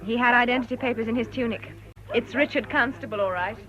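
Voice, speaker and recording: An actress playing a wry, sociable young fiancée with a British accent, chatting in radio drama style. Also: female voice, a little high, fast, natural voice. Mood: chatty, amused. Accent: British English. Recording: dull lo-fi recording, crowd behind.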